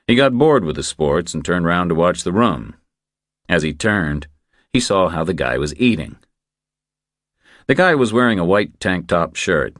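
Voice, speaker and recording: A man's voice: rumbling low, no room sound, clean studio sound. A man reading a thriller novel aloud as scripted audiobook narration.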